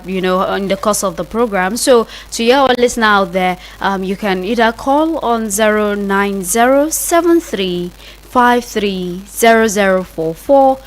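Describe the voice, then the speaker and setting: A woman's voice: medium pitch, rough recording. A woman with a Nigerian accent hosting a live radio interview and phone-in, often affirming what others say. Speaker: radio host. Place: radio studio.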